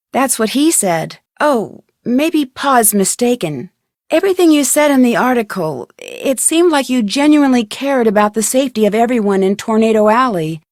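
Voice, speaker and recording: A woman acts out a young female sleuth from a video game, with a fairly high voice and a close, dry sound. She is polite and inquisitive, putting her questions with open curiosity. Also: darkish tone, no echo, natural voice. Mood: polite, earnest. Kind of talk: video game dialogue acting.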